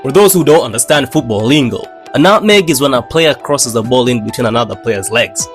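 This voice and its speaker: A young man from Tanzania, telling funny childhood stories in a slangy, animated way. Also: medium pitch, brisk, soft background music. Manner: animated, slangy, playful delivery with dramatic swings. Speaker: animator narrating his own channel. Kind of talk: comedic storytime narration.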